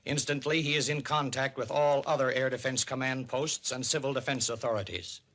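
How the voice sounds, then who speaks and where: An American man narrating a 1950s radio civil defense documentary in a grave, emphatic, deliberate manner. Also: mid-range voice, steady tone, fairly bright tone. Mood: grave, urgent. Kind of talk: 1950s radio documentary narration, dramatic public-service announcing. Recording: vintage 1950s radio recording.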